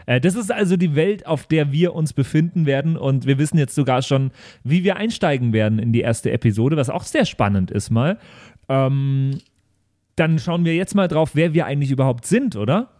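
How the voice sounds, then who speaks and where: A man's voice: on the high side, expressive, moderate pace, warm tone, no room sound, clean studio sound. A man chatting casually as a podcast host and role-play player. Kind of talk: casual group discussion of a role-play campaign.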